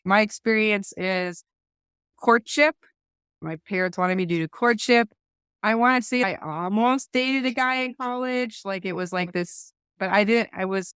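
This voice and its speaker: A woman hosting a casual podcast at a middling pitch, recorded dry and close with no room sound. She talks in a chatty, searching way and often stops to restart a thought. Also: a bit bright, smooth, no room sound. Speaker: podcast host. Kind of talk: casual faith discussion.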